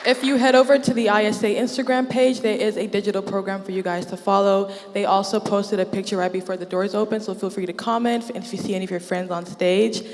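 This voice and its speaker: A woman's voice: medium pitch, steady tone, quick pace, few pauses, big-room sound. A woman from Zimbabwe emceeing a student show, upbeat and teasing as she hypes the crowd. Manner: warm-up announcer, teasing, upbeat. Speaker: event emcee.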